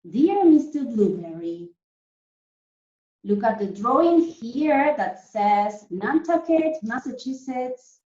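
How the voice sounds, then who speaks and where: A woman teacher reading a story to young children in a deliberate, animated way, often putting questions to them. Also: a little high. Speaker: primary school teacher reading a story to pupils. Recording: roomy, dull lo-fi sound.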